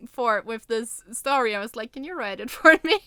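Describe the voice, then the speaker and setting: Bright-voiced woman chatting jokily. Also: fairly high pitch, expressive, normal speed, clear, resonant tone, no echo, studio quality. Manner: jokey, self-deprecating, rambling. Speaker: podcast co-host and writer. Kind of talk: casual chat.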